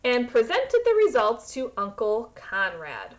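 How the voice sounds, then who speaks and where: Slow, animated woman reading to children. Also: on the high side, dull audio. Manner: teacherly, explains words to children. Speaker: read-aloud storyteller for children. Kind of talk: expressive children's storybook read-aloud with asides to listeners.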